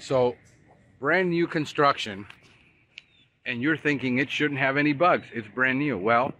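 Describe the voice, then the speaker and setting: A man in his forties, a pest control expert, walking a construction site outdoors and talking to a phone camera in an energetic, conversational way. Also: medium pitch. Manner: energetic, conversational, pointing things out while walking. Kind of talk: casual walking vlog explainer. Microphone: phone camera held at arm's length.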